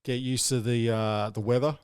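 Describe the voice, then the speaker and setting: A man from New Zealand with a slight Kiwi accent, telling his story in a casual podcast chat, halting with restarts. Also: medium pitch, fairly even intonation, a little mellow, clean tone, no echo, clean studio sound. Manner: halting, many restarts and fillers. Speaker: podcast host.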